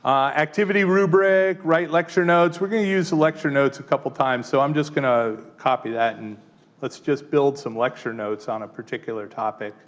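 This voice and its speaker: A man presenting a live teaching workshop, talking casually with frequent fillers and quick "right?" check-ins to the room. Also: mid-range voice. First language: English. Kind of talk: live tech workshop demo.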